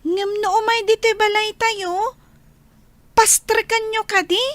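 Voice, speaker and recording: Ubing, nakatintinis ti timekna. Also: female voice, very high voice, steady tone, measured pace, no echo. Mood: curious, wistful. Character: inquisitive young child questioning a parent. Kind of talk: radio drama acting.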